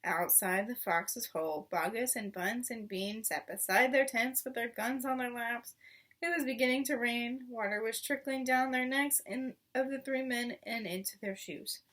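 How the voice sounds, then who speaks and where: A woman reading a children's story aloud in an expressive, dramatic way. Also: fairly high pitch, normal speed, distant mic. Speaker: storybook reader.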